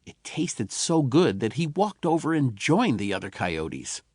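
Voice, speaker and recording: A man reads a children's tall tale with a folksy, expressive touch. His medium-pitched voice rises and falls with plenty of movement. The sound is close and dry, like a studio audiobook. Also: a little slow, dry recording. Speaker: audiobook narrator. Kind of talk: scripted storytelling narration of a children's tall tale.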